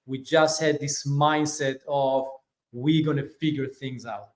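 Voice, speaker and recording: Israeli guest, 40s, relaxed storyteller, lots of "um", roomy echo. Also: male voice, mid-range voice, measured pace. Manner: relaxed, many fillers. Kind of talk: interview storytelling. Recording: echoey room.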